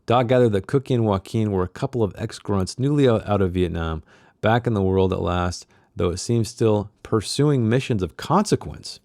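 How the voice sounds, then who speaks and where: A man with a lowish, very mellow voice, close and dry with no room sound. He reads fiction aloud as a book club host, slipping into informal asides as he goes. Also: no echo. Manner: informal asides, acts out character dialogue. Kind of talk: reading a novel aloud with casual commentary.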